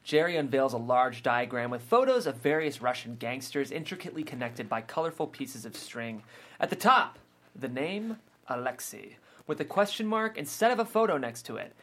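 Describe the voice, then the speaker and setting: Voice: medium pitch, big pitch swings, moderate pace, fairly bright tone. A narrator reading scripted stage directions aloud at a table read.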